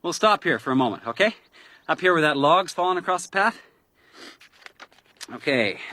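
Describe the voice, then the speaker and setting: An actor playing an inquisitive, self-assured newspaper reporter, pressing and over-familiar, in a detective radio drama. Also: male voice, on the high side, animated, normal speed, pushed and bright, dry recording.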